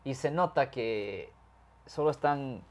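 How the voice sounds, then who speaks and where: Talkative California-born lawyer explaining law. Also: male voice, medium pitch, expressive, slow. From California, USA. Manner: explains at length, mixes English words into Spanish. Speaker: criminal defense lawyer. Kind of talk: conversational legal explanation.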